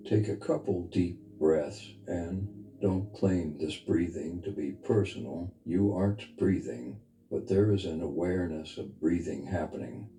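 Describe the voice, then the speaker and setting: Very dark, low-voiced man guiding meditation. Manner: soft, unhurried, intimate, earnest instruction. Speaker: meditation guide, spiritual teacher. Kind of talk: guided meditation, spiritual teaching. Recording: reverberant voice over background music. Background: music.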